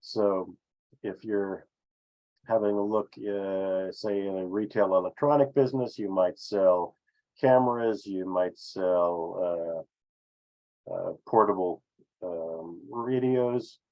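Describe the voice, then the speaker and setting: A man presenting an explanatory business webinar with slides, speaking haltingly with frequent filled pauses. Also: low-pitched. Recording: dull, lo-fi webinar audio.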